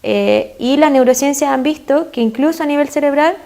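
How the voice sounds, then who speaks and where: A woman's voice: high-pitched, normal speed, dark tone. A woman with a Chilean accent, a guest expert in an interview, explaining earnestly with frequent fillers. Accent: Chilean Spanish.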